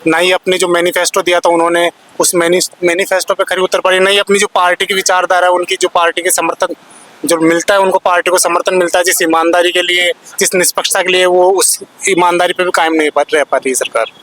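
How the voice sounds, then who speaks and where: A man's voice: high voice, flat delivery, quick pace, fairly bright tone, rough recording. A man at a protest, an exam aspirant arguing bitterly and sarcastically about a broken recruitment. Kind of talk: street interview.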